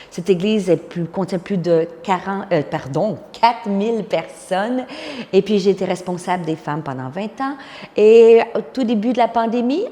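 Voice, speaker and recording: A woman's voice: mid-range voice, mellow. A woman in her fifties with a Québécois accent, a pastor and conference speaker giving a personal testimony with words of encouragement. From Quebec, Canada. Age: 50s. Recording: long hall-like reverb.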